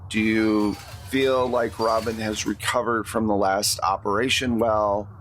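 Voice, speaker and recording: Man, low-pitched, unhurried, game master narration, conversational, noticeable background noise. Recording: clear steady background noise.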